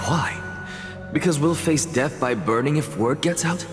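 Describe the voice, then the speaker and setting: A man voicing a defiant young male student in a video game, speaking in a measured, scornful, resolute way. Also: fairly high pitch, natural voice. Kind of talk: dramatic video game voice acting. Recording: long hall reverb, music behind. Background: music.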